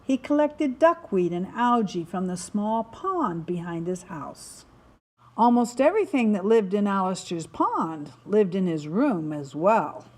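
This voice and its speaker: A woman narrating a children's story in an expressive, dramatic way, stretching words for emphasis. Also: medium pitch, unhurried. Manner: animated, dramatic delivery with emphatic word stretching. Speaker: storybook reader.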